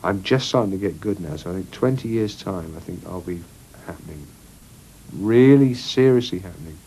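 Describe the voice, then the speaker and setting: A man of forty, a songwriter in an interview, talking in a halting, self-mocking way and repeating his words as he goes. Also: low voice, lively intonation, mellow, slight background noise, rough recording. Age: 40s. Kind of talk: casual interview chat.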